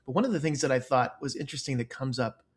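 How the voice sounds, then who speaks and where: A man hosting an interview, leading into a question in a thoughtful, measured way with "you know" fillers. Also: medium pitch, slightly rough. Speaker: podcast host.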